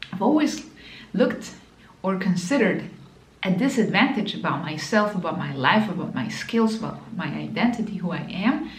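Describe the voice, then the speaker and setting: Woman, Spanish accent, native Spanish speaker, motivational mentor, emphatic, repeats phrases, mid pitch, roomy echo. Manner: emphatic, repeats key phrases, addresses viewer directly. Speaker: business mentor. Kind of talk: motivational talk to camera.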